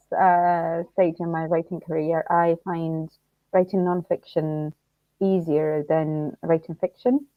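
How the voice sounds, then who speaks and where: A woman from Estonia speaking reflectively as an interviewed writer, thoughtful and halting as she searches for words. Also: fairly low pitch, dry recording, lo-fi sound.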